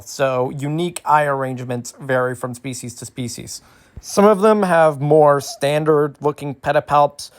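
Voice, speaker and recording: Someone doing a casual asmr explainer, mid pitched voice, detailed with little playful asides. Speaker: host explaining bugs. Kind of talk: informal educational ASMR explainer.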